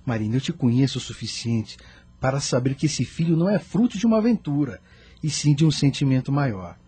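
An earnest doctor acted by a man in a radio play. His voice is very raspy and dark, and he urges with deliberate moral weight. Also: medium pitch, big pitch swings. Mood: earnest, admonishing. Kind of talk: radio drama acting.

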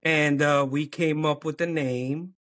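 Man, slightly higher voice, relaxed and halting. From New York. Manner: relaxed, halting with many ums, chuckles. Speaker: clothing brand owner, guest. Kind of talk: casual interview chat.

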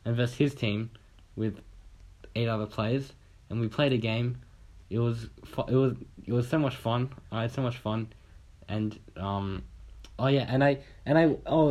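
A man's voice: medium pitch, a little mellow. A young man from Australia telling a long, rambling story in casual chat on a podcast, swearing casually and acting out what people said.

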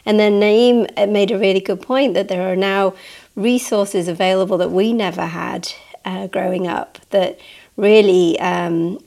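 A woman's voice: medium pitch, fairly even intonation, a little slow, vocal fry, darkish tone. A woman from Manchester, speaking reflectively and conversationally as a panel guest. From Manchester, England.

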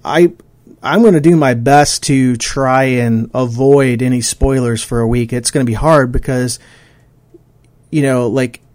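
A man in his forties hosts a solo podcast, rambling along in a casual way and often stopping to restart a thought. His pitch sits in an ordinary middle range, and the recording is dry and close, with no room sound. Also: a little mellow, no echo. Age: 40s. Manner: rambling, frequent fillers and restarts. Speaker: podcast host.

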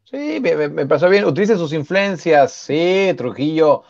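A man's voice: on the high side, a little slow, creaky, no room sound. Man, dry dull lo-fi sound. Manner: relaxed, chatty, greets viewers, many fillers. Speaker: co-host of a live sports stream. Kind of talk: casual live-stream chat.

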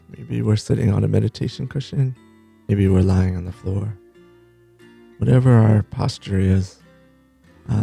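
A man leading a guided meditation in a gentle, unhurried way, pausing between short phrases. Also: on the low side, creaky, husky. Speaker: meditation teacher and host.

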